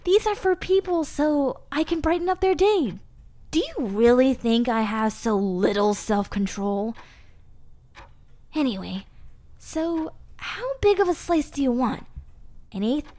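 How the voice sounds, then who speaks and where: A woman's voice: sits high, lively intonation, unhurried, mellow. A woman voicing a sweet, eager, scatterbrained monster girl in a roleplay audio drama, chatting and rambling bashfully to the listener. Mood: flustered, playful.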